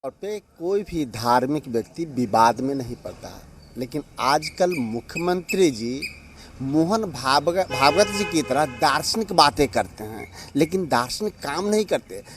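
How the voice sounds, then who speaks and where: A man's voice: fairly high pitch, expressive, unhurried, soft background music. A man giving a critical press sound bite as an opposition politician.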